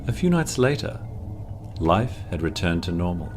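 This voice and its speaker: A man tells a scripted horror story in a very deep voice. His delivery is dramatic and suspenseful, with wide pitch movement and drawn-out pauses. Soft music plays beneath him. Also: sing-song. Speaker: narrator. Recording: music under the voice.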